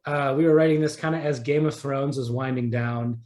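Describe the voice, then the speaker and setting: A man's voice: medium pitch, steady tone, brisk, darkish tone. A man speaking casually as an interview guest, hesitant and self-deprecating. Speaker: writer, podcast guest. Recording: roomy, echoing voice.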